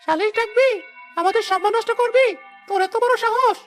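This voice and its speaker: An extremely high-pitched, squeaky cartoon trader, a treacherous greedy man voiced by a woman. The delivery is fast. Faint music runs behind. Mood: menacing. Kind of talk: cartoon story acting.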